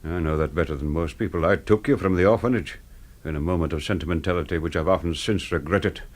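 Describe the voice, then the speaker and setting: An actor playing a cold, menacing older master of a house in a radio drama, speaking in a measured, formal, condescending way. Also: male voice, low-pitched. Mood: quiet menace.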